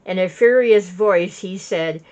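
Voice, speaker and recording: Woman, very slow delivery, witness statement read aloud, dull lo-fi sound. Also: on the low side, darkish tone. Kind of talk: reading a written statement aloud. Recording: dull lo-fi recording.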